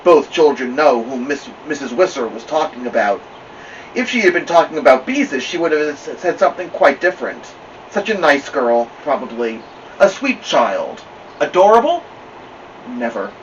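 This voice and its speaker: A man reading a children's story aloud with expressive narration. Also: on the high side, moderate pace. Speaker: storybook reader. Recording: distant mic, slightly dull, faint noise.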